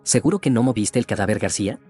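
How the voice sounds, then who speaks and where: An actor with an Argentine accent playing a blunt, puzzled police officer in a radio drama, with a husky voice. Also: male voice, fairly low pitch, quick pace, darkish tone, faint music behind.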